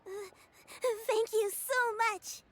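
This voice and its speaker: Very high-pitched young girl. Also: steady tone, very slow, heavily acted. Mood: polite, hesitant. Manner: earnest, hesitant, polite. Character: polite, caring young girl, a granddaughter. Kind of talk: video game voice acting.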